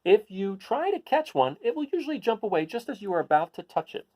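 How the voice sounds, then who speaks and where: A man's voice: high-pitched, big pitch swings, fairly quick, a little mellow. A man hosting a read-aloud, reading a children's picture book and adding casual, explanatory asides for young listeners.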